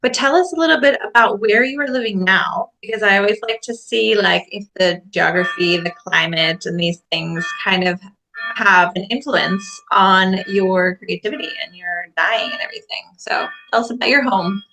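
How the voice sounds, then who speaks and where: A woman's voice: mid-range voice, moderate pace, pushed and bright, low-quality recording. A woman hosting a casual video-call interview, upbeat and saying "like" a lot. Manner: upbeat, frequent "like". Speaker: podcast host.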